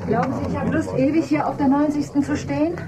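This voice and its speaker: A woman playing a composed, dreamy character in a dystopian radio drama, measured and articulate. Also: sits high, steady tone, a loud crowd, lightly acted. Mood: tired, irritated. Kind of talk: German radio drama acting.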